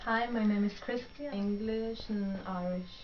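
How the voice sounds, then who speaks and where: A woman's voice: medium pitch, steady tone, a little slow. A young woman, who grew up in Spain, giving brief, casual interview answers. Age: young adult. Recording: muffled with heavy background noise.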